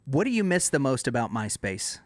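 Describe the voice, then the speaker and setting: Male host, mid-pitched, dry studio-close sound, casual interview chat. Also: sing-song, fairly quick, dry recording. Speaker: podcast host.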